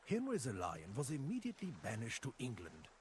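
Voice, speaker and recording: An actor playing a grave storyteller who narrates medieval history for a game, in a theatrical, measured delivery. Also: male voice, medium pitch, huge pitch swings, breathy edge, exaggerated voice. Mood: solemn, dramatic. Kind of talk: scripted dramatic storytelling narration. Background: music.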